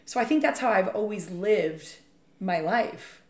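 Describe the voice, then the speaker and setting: A woman with a slightly raspy voice talks in a relaxed podcast conversation. She is chatty and enthusiastic, and she speaks as a leader in real estate. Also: medium pitch, a little slow.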